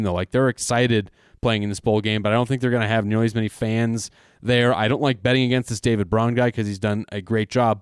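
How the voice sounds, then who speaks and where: A man's voice: mid-range voice, clean tone, no echo, studio quality. A man co-hosting a casual sports betting podcast, joking around and hyping picks. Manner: jokey, hypes with "baby". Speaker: podcast co-host.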